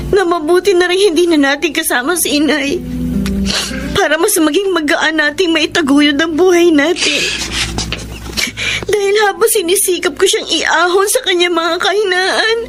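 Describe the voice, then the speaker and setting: A woman's voice: extremely high pitch, measured pace, long pauses, music in the background. A woman playing a hardworking, earnest and emotional older sister in a radio drama, with a Filipino accent. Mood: earnest, sorrowful. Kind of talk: Tagalog radio drama acting.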